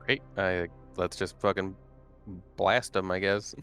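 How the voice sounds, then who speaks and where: Low, clear-voiced male player. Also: fairly even intonation, a little slow, a bit bright, faint music behind. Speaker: player in a tabletop game. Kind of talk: casual game chat.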